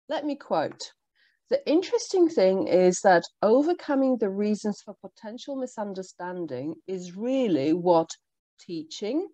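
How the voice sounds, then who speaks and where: A woman whose first language is Polish, presenting a workshop for language teachers in a measured, explanatory way with frequent ums. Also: mid-range voice, warm tone, no room sound. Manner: measured, explanatory, with pauses and fillers. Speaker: teacher trainer.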